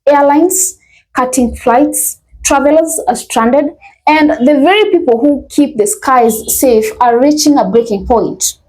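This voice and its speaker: A woman host delivering a scripted commentary intro, with halting delivery and repeated phrases. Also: high voice, a little mellow, low-quality recording.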